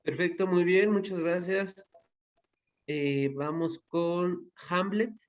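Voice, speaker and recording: A man's voice: high voice, steady tone, takes it slowly. A man hosting an informal online class and inviting participants to share, often repeating words as he goes. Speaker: masterclass host. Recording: dull, muffled online call audio.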